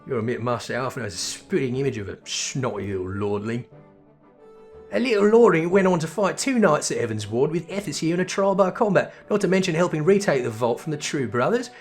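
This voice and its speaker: A blunt, plain-spoken slum woman from a fantasy game, voiced by a man, pitched up and salty in her colloquial British working-class talk. Music plays behind. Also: expressive, fairly quick. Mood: reflective, blunt.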